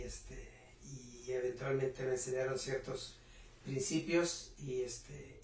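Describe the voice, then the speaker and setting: Earnest Mexican man, flat and distant. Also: mid-range voice, a little hiss or hum. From Puebla, Mexico. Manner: earnest, hesitant with many fillers. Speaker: interview guest, business owner. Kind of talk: reflective motivational talk. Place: home. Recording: roomy echo, voice from a distance.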